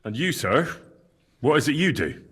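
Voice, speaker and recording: An actor playing an earnest, cheeky young blacksmith's hand, polite and plain-spoken, in a video game. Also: male voice, mid-range voice, big pitch swings, normal speed, a bit bright, slightly rough. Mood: polite, curious. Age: young adult. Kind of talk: video game dialogue acting.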